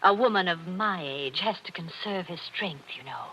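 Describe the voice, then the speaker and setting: Actress as a brittle, weary wife. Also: female voice, lowish voice, lively intonation, normal speed, natural voice. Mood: strained. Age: middle-aged. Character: nervous, weary middle-aged wife, tense and brittle. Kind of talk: 1940s radio acting. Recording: dull old radio recording with faint hiss.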